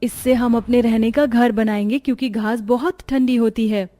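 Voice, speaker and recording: Woman as earnest high-voiced orphan big sister. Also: fairly even intonation, moderate pace, mellow, soft background music, close to an everyday voice. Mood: caring. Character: caring orphan girl, eldest sister, earnest and protective. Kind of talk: cartoon story dialogue.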